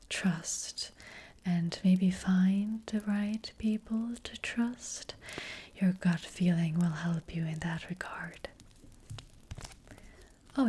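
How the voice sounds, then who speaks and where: A woman voicing a gentle witch fortune teller in a soft ASMR roleplay, whispering breathily and hesitantly, close to the microphone. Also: lowish voice, slight background noise. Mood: soft, reassuring. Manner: gentle, hesitant, slow and reassuring.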